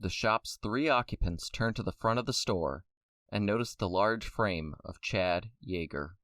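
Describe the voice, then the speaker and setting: A man narrates an audio drama from a script. His voice is on the low side, recorded close and dry. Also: measured pace, fairly bright tone, no room sound.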